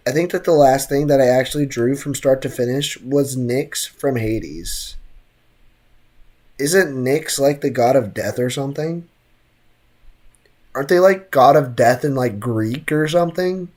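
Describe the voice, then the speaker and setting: Game streamer, medium pitch, casual live commentary, slangy, joking asides. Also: a little slow, darkish tone. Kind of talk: casual livestream commentary, reading chat.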